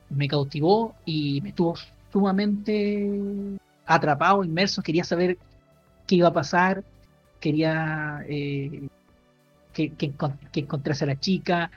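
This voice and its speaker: A man co-hosting a podcast, casually recommending a book in a halting way, full of fillers and restarts. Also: a little high, slightly rough, no echo, highs cut off, soft background music. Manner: halting, many fillers and restarts while explaining. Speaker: podcast co-host.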